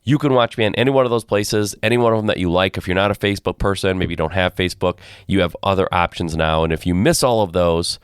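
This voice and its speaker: A man hosting a podcast who is under the weather, talking in a chatty, energetic way in a live Q&A. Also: low voice, fast, hardly any pauses, clean tone, no echo, studio quality. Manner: energetic, chatty, repeats phrases. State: under the weather, voice deeper than usual. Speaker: podcast host. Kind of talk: casual live Q&A talk.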